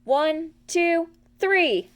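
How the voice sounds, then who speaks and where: A woman's voice: very high voice, animated, drawn-out words, voice pushed up, exaggerated voice. A woman voicing a small, eager, helpful piglet in a children's storybook.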